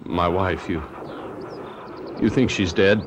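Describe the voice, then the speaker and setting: An actor playing a guilty, irritable husband in a radio mystery drama, testy and prone to stammering under pressure. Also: male voice, low voice, slow, background noise. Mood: anxious. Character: guilty husband feigning grief, curt, irritable, evasive.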